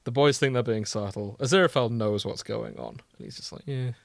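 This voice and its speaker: A man's voice: medium pitch, fairly quick, gravelly, dry recording. A man co-hosting a podcast, chatting casually and riffing on stories with dry, teasing humour. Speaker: podcast co-host. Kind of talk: casual chat, reading and riffing on fan fiction.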